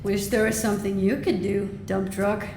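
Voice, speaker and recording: A woman playing a restless, sidelined team member in an audio drama, sullen and sarcastic, heard distant and echoey over a noisy rumble. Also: level tone, moderate pace, lightly acted.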